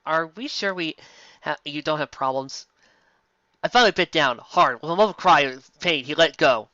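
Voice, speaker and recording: A man giving a sarcastic, theatrical dramatic reading of fan fiction and breaking in with excitable commentary. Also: a little high, normal speed, no room sound, muffled recording.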